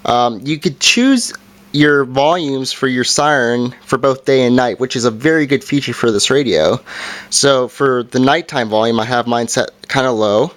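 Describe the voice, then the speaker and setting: A man giving a casual hands-on product review, talking in a halting way and correcting himself as he goes. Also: mid-range voice, a bit bright, slight background noise.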